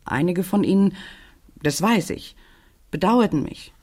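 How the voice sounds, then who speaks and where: A guarded, troubled widow in a radio crime drama. Her voice sits fairly low and is distinctly husky. She speaks haltingly, in short, dramatic phrases. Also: expressive, darkish tone. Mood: confiding, regretful. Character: troubled, guarded widow confiding in a young neighbour girl.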